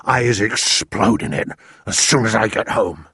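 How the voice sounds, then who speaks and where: A man voicing a big, kindly, excitable male giant who mangles his words. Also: on the low side, moderate pace, breathy edge, no room sound, studio quality, heavily acted. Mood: anguished. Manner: jumbled words, broad rustic lilt.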